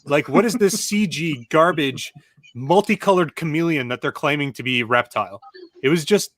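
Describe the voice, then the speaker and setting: Kinda high voiced guy on a panel, super animated, griping and stumbling over his opinions. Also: no echo. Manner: emphatic, griping, halting restarts. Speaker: panelist on a video game movie show. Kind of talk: casual opinionated chat.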